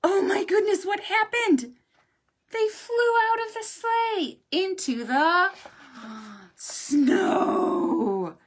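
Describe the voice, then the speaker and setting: A woman hosting a preschool storytime, reading aloud and chatting to young children in an expressive, sing-song way. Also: extremely high pitch, drawn-out words. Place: at home or indoors, livestreamed.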